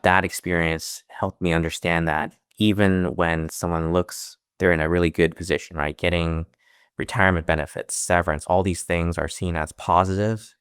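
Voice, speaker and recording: Deep-voiced, earnest man in an interview. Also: little pitch movement, normal speed, fairly bright tone, smooth, dry recording, clean studio sound. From United States. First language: Chinese. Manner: earnest, with frequent restarts and fillers. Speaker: financial advisor, interview guest. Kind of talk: interview answers.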